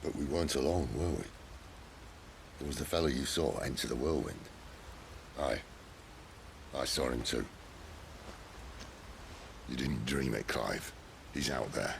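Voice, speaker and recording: An actor playing a gruff, wry, middle-aged British outlaw leader in a video game cutscene, blunt and sardonic with a gravelly voice. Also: male voice, very deep voice, measured pace, long pauses, background noise, exaggerated voice. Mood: grave, insistent.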